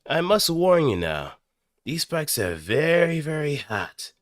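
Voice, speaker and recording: Higher-pitched menacing mecha pilot. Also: male voice, very animated, dry recording, clean studio sound. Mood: menacing warning. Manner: drawn-out warnings, then yelled attacks. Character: polite but menacing male spike-wielding mecha pilot. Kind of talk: anime robot game battle lines.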